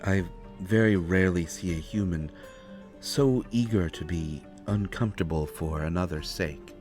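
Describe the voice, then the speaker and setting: A man voicing an ancient, courteous vampire who speaks with formal, measured, deliberate phrasing in an audio roleplay. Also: low voice, darkish tone, close to an everyday voice. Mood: knowing, intrigued. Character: ancient, courteous, knowing male vampire speaking softly and gravely. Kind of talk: scripted immersive audio roleplay. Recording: roomy echo, faint music behind. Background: faint music.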